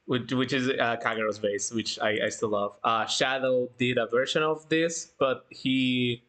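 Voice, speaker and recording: A man hosting a casual livestream chat, talking informally in a halting way and often repeating himself. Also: medium pitch, fairly even intonation, fairly bright tone. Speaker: livestream host.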